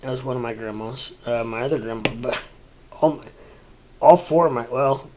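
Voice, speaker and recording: A man rambling through a casual livestream, stuffy and congested, halting with lots of fillers and restarts. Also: mid-range voice, background noise. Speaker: crypto livestreamer. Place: home room, seated at a desk.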